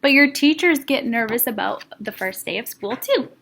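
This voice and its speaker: A woman elementary school teacher chatting to young children in an expressive, reassuring way with simple phrasing. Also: a little high, moderate pace, vocal fry, a little hiss or hum. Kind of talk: read-aloud and chat addressed to young children.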